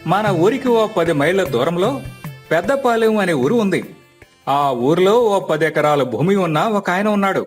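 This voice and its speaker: నటుడు పోషించిన ధైర్యం చెప్పే పల్లె పెద్దమనిషి, హెచ్చు గొంతు. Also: male voice, high-pitched, expressive, normal speed, soft background music. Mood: advising. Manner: reassuring, rustic colloquial advice. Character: practical, helpful elder village family friend. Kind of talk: dramatized moral story.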